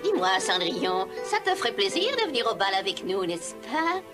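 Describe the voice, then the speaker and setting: A woman's voice: medium pitch, very animated, normal speed, music in the background, natural voice. A woman playing a vain, scornful young stepsister in a fairy-tale cartoon, demanding and falsely sweet. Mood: taunting.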